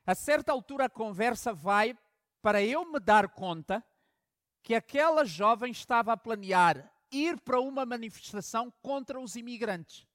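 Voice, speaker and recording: High-voiced pastor preaching with dramatic swings. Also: male voice, lively intonation, moderate pace, no echo, studio quality. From Angola. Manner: storytelling preacher with dramatic swings from loud emphasis to hushed asides. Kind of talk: sermon.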